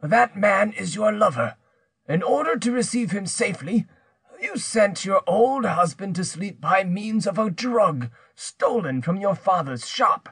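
A man's voice: high voice, animated, a little slow, fairly bright tone, breathy edge, no room sound, pitched above the performer's own voice, full character voice. A man voicing a menacing, vengeful older uncle who blackmails and accuses vehemently in an audiobook story.

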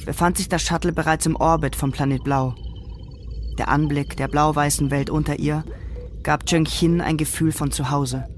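A man's voice: fairly high pitch, slight background noise. A man narrating a radio drama from a script.

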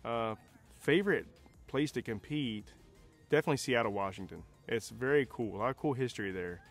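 A man's voice: mid-range voice, a little fast, faint music behind. A man, a monster truck driver, talking in a casual, laid-back way in an interview. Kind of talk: interview answer.

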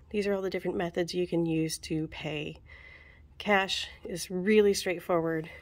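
A woman casually walking through book fair checkout steps at a school register, chatty, with ums and quick asides, recorded on a handheld phone. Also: fairly low pitch. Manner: chatty, with frequent ums, asides and self-corrections. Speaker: book fair volunteer trainer. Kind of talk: casual how-to walkthrough. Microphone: phone camera held over the register.

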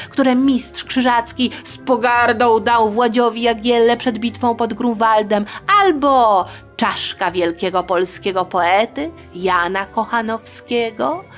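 A woman storyteller narrating history for young listeners in a chatty, colloquial, tongue-in-cheek way. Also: fairly high pitch. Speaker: storyteller and host.

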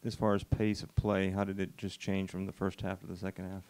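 A man, a sports reporter, putting a question to a player at a press conference in a steady, monotone way. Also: low voice, a little fast.

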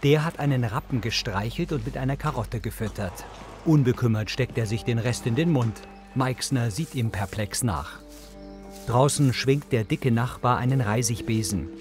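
Measured male audio description narrator. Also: medium pitch, a little mellow, soft background music. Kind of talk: scripted narration of on-screen action.

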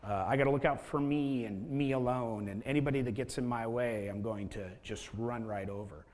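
Male pastor, thirties, mid-pitched and conversational, asks the room rhetorical questions; long church-hall reverb. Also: brisk, a little mellow. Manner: conversational preaching, rhetorical questions, acted-out inner voice. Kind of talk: sermon. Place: church sanctuary with a stage.

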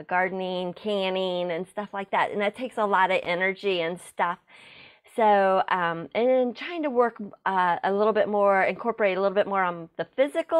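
Chatty rambling woman co-host. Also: mid-range voice. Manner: rambling, full of fillers and laughter. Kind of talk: casual chat.

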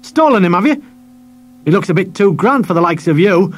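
A man voicing a nasty, bullying male chip-shop owner who shouts and sneers. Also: high-pitched, animated, brisk, voice pushed up. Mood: sneering.

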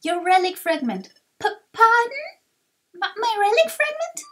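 A woman voicing a young, earnest and excitable little adventurer creature in a video game. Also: very high-pitched, measured pace, full character voice. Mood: eager, earnest. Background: game music.

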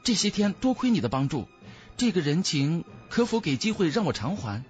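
A man voicing a polite young fantasy warrior in an audiobook, light, expressive and courteous. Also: a little high, normal speed, faint music behind. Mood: courteous, curious.

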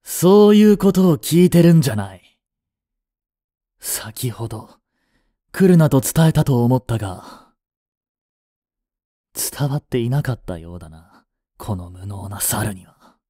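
A man's voice: medium pitch, animated, unhurried, pauses often, warm tone, no echo, studio quality. A man playing a devoted, jealous young butler in a voice drama, with formal, clipped, haughty speech. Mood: scornful, threatening. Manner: formal, polite, clipped, turning contemptuous toward a rival. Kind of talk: Japanese voice drama acting.

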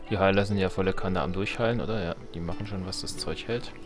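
Male Let's Play host, lowish voice, casual and colloquial game commentary with playful exasperation, music clearly behind him. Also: steady tone, fast talker. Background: video game music.

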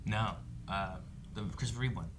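A man's voice: fairly low pitch, brisk, fairly bright tone, a little hiss or hum. A man in his thirties with an American accent, chatting as a show host in loose, hesitant phrases. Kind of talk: casual discussion. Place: indoor studio with set shelves.

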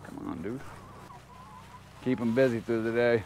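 A man with a mid-pitched voice talks in a loose, folksy, slangy way, like a backyard vlogger. He is outdoors on a handheld camera, with clear background noise around his voice. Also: fairly even intonation, unhurried, darkish tone. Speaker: homesteader vlogger, host. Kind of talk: casual vlog chat, talking to viewers and animals. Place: outdoors in a backyard chicken run.

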